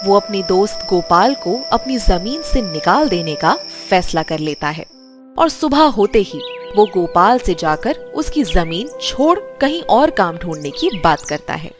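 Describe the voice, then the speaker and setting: A woman's voice: medium pitch, lively intonation, measured pace. A woman reading scripted narration for a children's bedtime story. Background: music.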